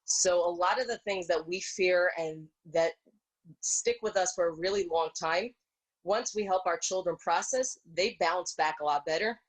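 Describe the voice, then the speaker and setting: A woman with a slightly low voice offers reassuring advice to a community. She is measured and articulate, speaking over a video call. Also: rough recording. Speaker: social worker, rabbi's wife. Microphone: video call microphone.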